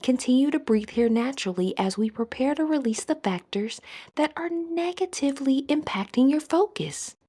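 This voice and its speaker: A woman leading a scripted guided meditation in a soothing, measured manner. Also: sits high, expressive, warm tone.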